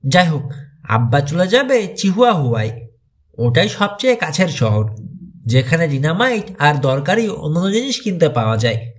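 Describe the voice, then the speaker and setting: Friendly teenage adventurer read by a male narrator, earnest and chatty, lively pitch movement, for a mystery audiobook. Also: normal speed. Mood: explaining.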